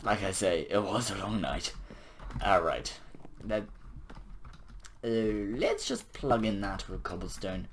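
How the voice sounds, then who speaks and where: A hoarse young man with a nearly lost voice, chatting casually and mocking himself while he builds in a game. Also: fairly low pitch, big pitch swings, slow, pauses often, a little hiss or hum. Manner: self-mocking, chuckling, rambling. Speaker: gaming video creator.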